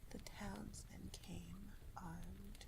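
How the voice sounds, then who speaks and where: A woman reading a fairy tale aloud in a slow, soft, deliberate ASMR whisper. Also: medium pitch, flat delivery, very noisy. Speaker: ASMR storyteller.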